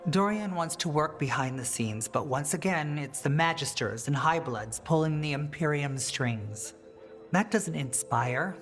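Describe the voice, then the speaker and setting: A composed, firm fantasy rebel leader. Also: female voice, low voice, lively intonation, faint music behind. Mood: earnest. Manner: measured, firm, earnest. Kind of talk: dramatic video game dialogue acting.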